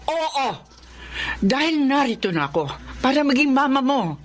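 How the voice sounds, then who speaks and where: A woman's voice: high-pitched, very animated, measured pace. An actress playing a strict, anxious Filipino mother in a radio drama, pleading and insistent. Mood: pleading, worried. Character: anxious, strict, self-sacrificing mother of a grown daughter.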